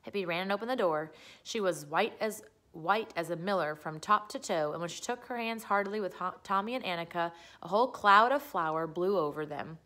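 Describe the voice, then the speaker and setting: A woman's voice: fairly low pitch, brisk, bright, forceful tone. A woman reading a children's book aloud as a storyteller, narrating the story.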